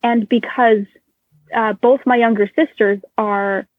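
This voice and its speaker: A woman's voice: a little high, steady tone, a little slow, no room sound. A woman in her twenties, a native Russian speaker, answering interview questions thoughtfully with small fillers. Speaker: interview guest. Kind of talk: casual interview conversation. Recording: tinny phone line.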